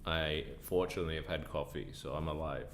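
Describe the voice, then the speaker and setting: A man hosting a casual live trading stream, croaky and tired, answering chat questions in a relaxed way. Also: a little fast, a little hiss or hum. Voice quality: croaky, deep from sinus trouble. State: tired, up a long time. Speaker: livestream trading host.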